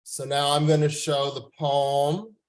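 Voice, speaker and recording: Man, from Canada, native French speaker, open mic poet, polite, slow delivery. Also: a little high, little pitch movement. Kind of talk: reading poetry aloud. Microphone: video call.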